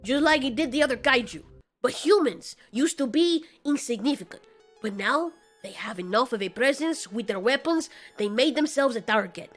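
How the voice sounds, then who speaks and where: A woman's voice: high voice, lively intonation, normal speed, soft background music, pitched above the performer's own voice. A woman voicing a cold male fire demon kaiju in an audio story, blunt, sardonic and slangy. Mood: forceful, cold.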